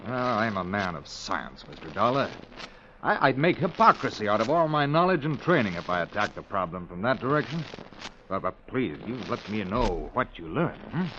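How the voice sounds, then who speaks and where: A man's voice: lowish voice, very animated, normal speed, a bit bright. A man playing an earnest, polite doctor in a 1940s radio drama, hesitant and stammering over his words. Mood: troubled, insistent. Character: earnest, anxious doctor, well-bred man of science. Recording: dull, noisy vintage radio recording.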